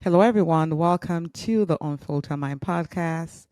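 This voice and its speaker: A woman's voice: sits low, moderate pace, a little mellow, dry recording. A woman hosting a podcast, asking upbeat, encouraging questions. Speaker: podcast host. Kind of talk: interview hosting.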